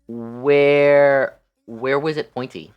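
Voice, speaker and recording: Very low-voiced woman livestreamer. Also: extremely slow, smooth, lo-fi sound, faint music behind. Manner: animated, incredulous, slangy. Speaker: livestream host. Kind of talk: casual livestream storytelling.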